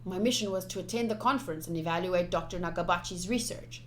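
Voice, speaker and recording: A woman streamer playfully reading visual novel text aloud, with casual asides in an expressive delivery. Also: lowish voice, fast, a little hiss or hum. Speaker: game streamer. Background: game music.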